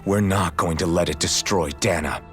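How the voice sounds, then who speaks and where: Deep-voiced, resolute young game hero. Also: male voice, normal speed, fairly bright tone, faint music behind. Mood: determined. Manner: blunt, determined. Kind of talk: video game cutscene acting.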